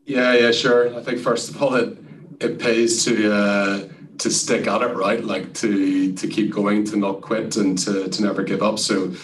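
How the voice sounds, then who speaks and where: An Irishman speaking as a guest in a casual interview, relaxed and chatty with frequent fillers. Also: fairly low pitch, unhurried, far from the mic, poor audio. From Ireland. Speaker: guest, sales executive.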